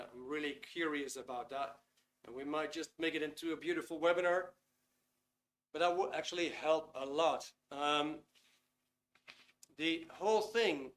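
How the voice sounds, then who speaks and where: A man with a Dutch accent hosting a live online webinar in a casual, chatty way, often pausing with ums. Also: a little high, a bit bright. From Netherlands. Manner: chatty, reads out chat comments, frequent ums. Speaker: webinar host.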